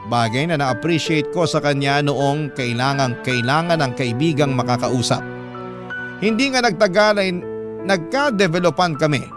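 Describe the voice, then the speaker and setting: A man's voice: fairly high pitch, lightly acted. A man playing a letter sender who narrates a love story in a confiding, sentimental way for a radio drama. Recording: clear music bed under voice.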